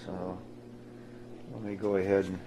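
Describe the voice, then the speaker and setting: Low-voiced folksy man, echoey room. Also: little pitch movement, unhurried, background noise. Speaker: DIY video maker. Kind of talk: casual hands-on workshop narration.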